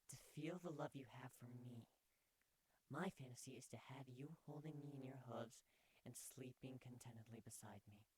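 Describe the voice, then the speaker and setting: A man voicing a playful, affectionate young female changeling pony with a breathy, coaxing voice in a fan fiction reading. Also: medium pitch, lightly acted, poor audio. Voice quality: breathy, hushed. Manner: teasing, coaxing, tender.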